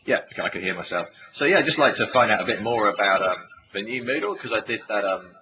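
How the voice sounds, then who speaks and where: A New man introduces himself casually on a web call, hesitating with ums and restarts. His voice sits on the low side. The line is muffled, with clear noise behind it. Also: expressive. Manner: halting, with fillers and restarts. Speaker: study skills staff member.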